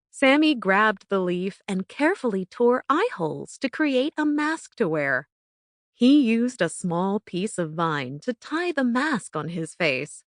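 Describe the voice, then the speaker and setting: Expressive woman narrator, very lively intonation. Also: medium pitch, dry recording, studio quality. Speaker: audiobook narrator. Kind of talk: scripted children's bedtime story narration.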